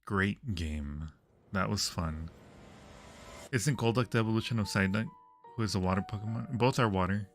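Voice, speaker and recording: A man's voice: fairly low pitch, normal speed, soft background music. A man hosting a livestream, chatting casually with viewers while playing a game. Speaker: livestream host. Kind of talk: casual livestream gaming chat.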